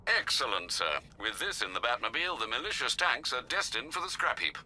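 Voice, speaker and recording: Older butler, very bright sharp voice, lively pitch, formal and polite. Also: male voice, a little fast, a little hiss or hum. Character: courteous older male butler, dryly witty. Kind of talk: video game cutscene acting.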